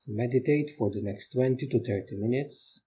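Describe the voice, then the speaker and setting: Male meditation guide, mellow and husky, gentle instructional delivery with pauses, lo-fi muffled sound. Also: medium pitch. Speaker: meditation teacher.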